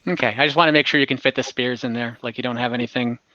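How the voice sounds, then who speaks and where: A man acting as dungeon master, improvising narration and rulings in a halting, conversational way and often repeating himself. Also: medium pitch, fairly even intonation, pushed and bright, no echo, highs cut off. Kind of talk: improvised narration and rulings in an online tabletop game.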